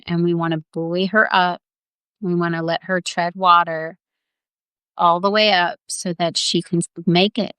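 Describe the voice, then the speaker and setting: Woman, guided meditation, very slow pace, creaky smooth voice, tender and earnest, coaxing. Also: fairly low pitch, a bit bright, no echo. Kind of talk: guided visualization meditation, improvised storytelling.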